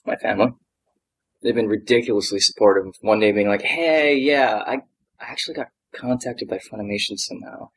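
A man's voice: lowish voice, brisk, fairly bright tone, husky, rough recording. A young man from Hawaii, a voice actor, chatting casually and energetically in an interview, slipping into jokey quoted asides. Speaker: voice actor guest.

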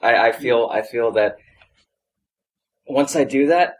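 Husky young man, voice actor guest. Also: medium pitch, takes it slowly. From Hawaii. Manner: energetic, jokey, animated quoted asides. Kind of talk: casual interview chat.

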